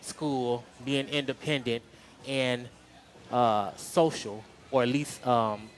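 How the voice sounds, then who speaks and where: A man answering interview questions in a halting, slightly nervous way, filling pauses with ums, at an outdoor street festival. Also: mid-range voice, fairly even intonation, a little hiss or hum. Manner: hesitant, halting, with many ums. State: a little nervous. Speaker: interview guest.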